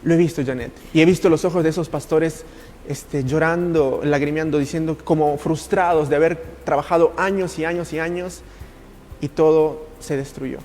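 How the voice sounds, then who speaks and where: A man from Italy speaking as a ministry coach in a podcast interview, earnest and passionate, with halting, repetitive phrasing. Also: a little high, steady tone, darkish tone, slight background noise.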